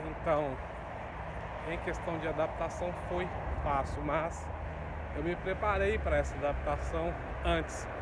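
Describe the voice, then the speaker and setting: A man from Minas Gerais speaks with his Minas Gerais Brazilian accent in a fairly high voice. He gives earnest advice and keeps coming back to the same phrases. Heavy traffic and city noise roar behind him outdoors. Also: fairly even intonation, normal speed. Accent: Minas Gerais Brazilian Portuguese. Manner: earnest, repeats key phrases for emphasis. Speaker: vlogger, immigrant in Portugal. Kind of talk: casual advice to camera.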